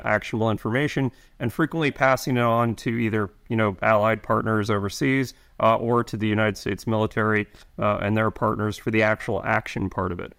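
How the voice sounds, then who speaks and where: A middle-aged man, an intelligence officer answering interview questions, speaking haltingly with many restarts and fillers. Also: lowish voice, a bit bright, clear, resonant tone.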